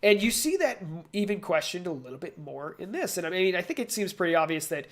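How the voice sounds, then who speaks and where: A man co-hosting a casual pop culture discussion, thinking out loud and posing rhetorical questions. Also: sits high, very animated, fast talker. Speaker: podcast co-host.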